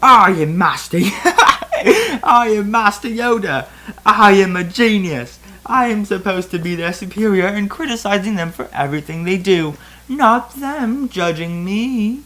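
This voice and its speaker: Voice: medium pitch, a little slow, pushed and bright, a little hiss or hum, voice pushed up. A reader voicing a vain, cranky, wrinkly old alien Jedi master with an indignant, screechy manner, in a comic fan fiction reading. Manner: indignant, screechy, inverted word order.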